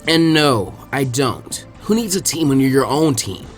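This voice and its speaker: A man's voice: medium pitch, unhurried, soft background music, lightly acted. A man doing a smug, cold rogue ninja. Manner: smug, deadpan sneer. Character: arrogant, cold adult male rogue ninja.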